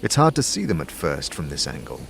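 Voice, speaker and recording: A man's voice: rumbling low, huge pitch swings, brisk, creaky, slight background noise. A man narrating a scripted fantasy audio drama in the second person, as a game master would. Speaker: narrator and game master, also voicing the lead character. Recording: music under the voice.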